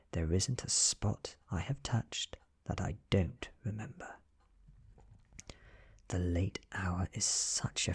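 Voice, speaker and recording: Whispery low British man reading softly. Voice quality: breathy, whispery. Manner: hushed, measured, deliberate. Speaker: ASMR narrator reading an audiobook. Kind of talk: soft-spoken ASMR story reading.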